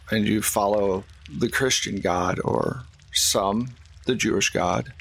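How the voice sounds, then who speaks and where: A man plays a worried troll in a tabletop roleplaying game. He speaks slowly and haltingly at a middling male pitch, explaining grave dangers with measured, apologetic care. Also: a bit bright.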